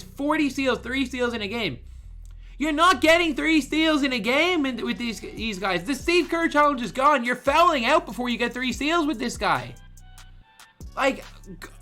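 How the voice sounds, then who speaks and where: A man's voice: very high voice, pushed and bright. Young man ranting with a lisp. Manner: exasperated, ranting. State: swollen tongue. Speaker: gaming streamer. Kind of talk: ranting gameplay commentary.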